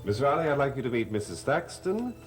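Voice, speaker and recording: Expressive, courteous manor master played by an actor. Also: male voice, medium pitch, a little fast, close to an everyday voice. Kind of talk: 1970s gothic soap opera acting. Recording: music behind the dialogue.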